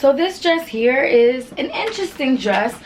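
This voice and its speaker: A woman in her twenties with a naturally deep voice made hoarse by illness, chatting calmly and quietly through a clothing try-on in her bedroom. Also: normal speed, over loud music. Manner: calm and quiet, with laughs. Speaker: fashion vlogger. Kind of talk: casual try-on haul chat. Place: bedroom with vanity and mirror.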